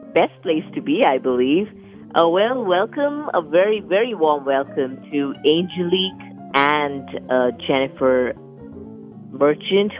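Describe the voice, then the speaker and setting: A woman's voice: low voice, phone-quality sound. A woman hosting a radio talk show, introducing guests in a chatty way that often restarts. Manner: chatty, repeats words and restarts.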